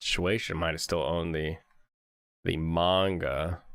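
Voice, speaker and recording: A man hosting an anime review stream, chatting casually and rambling, with a jokey, animated manner. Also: deep voice, slow pace, gravelly, smooth, no echo, clean studio sound.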